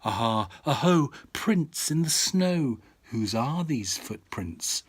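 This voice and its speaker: Man, storybook reading, very slow pace, medium pitch, dramatic, rhythmic, suspenseful. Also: big pitch swings, breathy edge. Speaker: father reading to his child.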